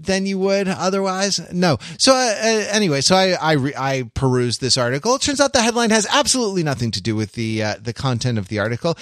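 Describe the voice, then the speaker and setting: A man co-hosting a podcast in casual chat, talking in a rambling, halting way and circling back to repeat phrases. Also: fairly high pitch, a bit bright, dry recording, studio quality. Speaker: podcast co-host.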